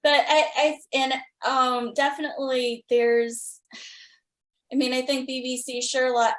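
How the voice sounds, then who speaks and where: A woman with a fairly high voice hosts a casual interview. She talks like an opinionated fan, stating her views with emphasis. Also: flat delivery, unhurried, fairly bright tone. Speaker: podcast host and interviewer.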